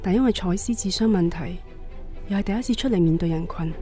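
Over background guitar music, an actress plays a devoted wife and mother in a radio drama. Her voice is low and mellow, tender with an anxious streak. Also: quick pace, natural voice. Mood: worried narration. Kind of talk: radio drama acting and narration. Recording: music behind the voice. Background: soft guitar music.

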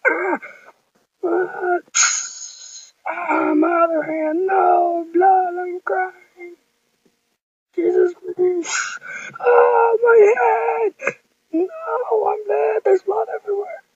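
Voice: very high voice, fairly even intonation, extremely slow, pauses often, no room sound, pitched above the performer's own voice, exaggerated voice. A performer voicing a clumsy hero who keeps getting hurt, whimpering and crying in pain, on a dull lo-fi home recording. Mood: wailing.

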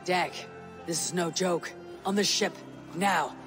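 A blunt Viking warrior from a video game. The voice is high for a man, with a terse, commanding delivery and proud sternness. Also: slow, a bit bright, soft background music. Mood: stern, urgent. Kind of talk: video game cutscene acting.

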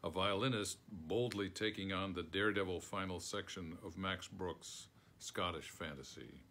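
An older man with an American accent reads a prepared text aloud. His voice sits low, and his delivery is measured. It is recorded indoors on a phone, as if at home. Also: fairly bright tone, a little hiss or hum. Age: older, around 70. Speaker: foreword author reading aloud. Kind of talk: reading a written foreword aloud. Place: book-lined room at home, indoors.

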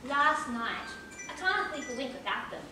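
A woman's voice: sits high, very animated, normal speed. A woman playing a chatty, dotty old grandmother with theatrical, rambling delivery in an amateur stage play. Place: large theatre stage, indoors. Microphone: far camera. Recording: echoey theatre from far off, noisy.

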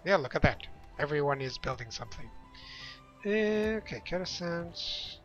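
A man's voice: a little high, animated, a bit bright. Chatty young man streaming a game. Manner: chatty, rambling, quick laughs. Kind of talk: casual let's-play chat with viewers. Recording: slightly dull lo-fi sound with game music.

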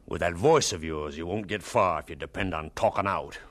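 An actor playing a bitter, sarcastic schoolteacher in a radio drama, stern and sneering in a theatrical way. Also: male voice, low voice, brisk, a bit bright. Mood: mocking. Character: bitter, scornful adult male schoolteacher, sarcastic and domineering.

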